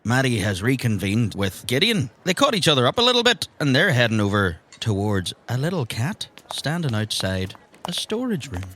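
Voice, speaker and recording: A man's voice: mid-range voice, sing-song, moderate pace, fairly bright tone. A man narrating an improvised tabletop game as game master, conversational and wry.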